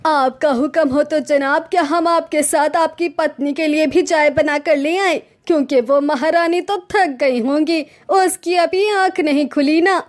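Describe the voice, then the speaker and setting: A woman's voice: high-pitched, a little slow, hardly any pauses. A woman voicing a nagging, sarcastic older mother-in-law in a cartoon story, grumbling and taunting theatrically. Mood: mocking sarcasm.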